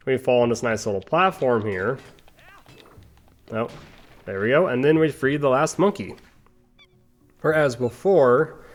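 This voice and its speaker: A man giving casual, rambling commentary over a video game playthrough, chatting with playful asides. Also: medium pitch. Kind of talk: casual gameplay commentary with tangents.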